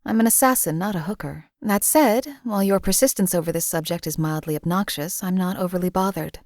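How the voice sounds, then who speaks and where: A woman plays a cold female assassin for hire in a video game, her voice pitched low and recorded close and dry. She speaks in a deadpan, measured way and keeps every feeling out of it. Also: dry recording, close to an everyday voice. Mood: coolly detached. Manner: deadpan, measured, emotionally flat. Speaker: game companion voice actor.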